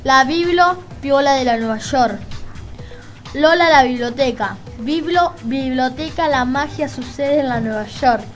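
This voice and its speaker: A high-pitched woman with an Argentine Rioplatense accent co-hosts a casual radio show. She reads notices and lists informally, tripping over words here and there, with faint music underneath. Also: unhurried. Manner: informal, stumbling over read-out names. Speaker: community radio co-host. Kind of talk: casual radio announcing, reading lists aloud.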